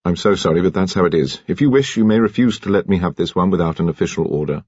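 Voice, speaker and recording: A man's voice: deep voice, quick pace, a little mellow, slightly rough, no echo, lo-fi sound. A man voicing an urbane, courteous senior detective with a polite, authoritative, articulate manner in an audiobook. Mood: apologetic, formal.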